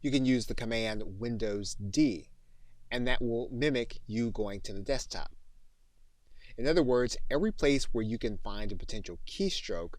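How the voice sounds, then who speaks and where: A man narrating an instructional software tutorial in an explanatory way, setting command phrases apart as he goes. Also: mid-range voice, expressive, normal speed, no room sound.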